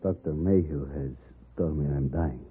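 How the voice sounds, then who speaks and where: An actor playing a dignified, stoic dying Mexican don, speaking formally and solemnly in an old radio western. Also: male voice, very deep voice, a little hiss or hum. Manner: formal, solemn, deliberate wording. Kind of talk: 1950s radio western acting. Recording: muffled, rough old radio recording.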